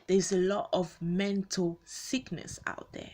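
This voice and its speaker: A woman in her late twenties speaks in a low register at an unhurried pace. She talks without a script to an online audience, conversationally, circling back to rephrase and putting questions to the listener. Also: flat delivery, darkish tone. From born in Congo, grew up in Belgium, moved to the UK as a teenager. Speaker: video host speaking to followers. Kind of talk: unscripted personal talk to an online audience.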